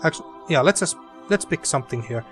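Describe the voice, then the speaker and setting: A man's voice: fairly high pitch, a little fast, faint music behind. A man with a dry throat casually commenting on a game as he plays, thinking aloud. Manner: relaxed, thinking aloud, mildly sweary. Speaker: gaming video host.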